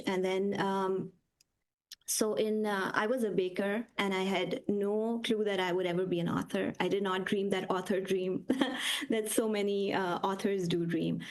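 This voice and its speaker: Woman guest author raised in the UAE; casual, halting delivery with frequent fillers. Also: mid-range voice, darkish tone. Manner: hesitant, many ums and uhs, laughs easily. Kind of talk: casual conversation over video call.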